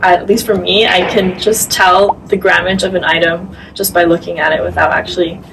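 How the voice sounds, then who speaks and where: A woman's voice: lowish voice, little pitch movement, moderate pace. A young woman from Singapore who works at a food bank, reminiscing casually in an interview. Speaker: food bank staff member. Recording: slightly distant mic under clear music. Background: background music.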